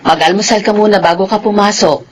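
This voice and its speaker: A woman's voice: medium pitch, steady tone, fast talker, background noise, lightly acted. An actress playing a patient, self-sacrificing widowed mother in a Filipino radio drama. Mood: caring, coaxing. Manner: patient, pleading, apologetic.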